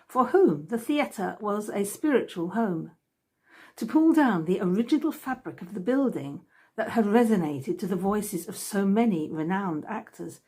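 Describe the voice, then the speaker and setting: Woman reading aloud with lively pitch. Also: husky. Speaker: author reading from own book. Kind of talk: scripted narration, reading aloud.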